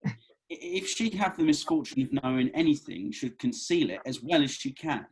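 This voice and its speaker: A man's voice: mid-range voice, lively intonation, normal speed, darkish tone, breathy edge, low-quality recording. An actor playing a cheeky, bumbling young British man, colloquial and hesitant, in a read-through of a comedy script. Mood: amused. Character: easygoing, cheeky, bumbling young bloke at festival.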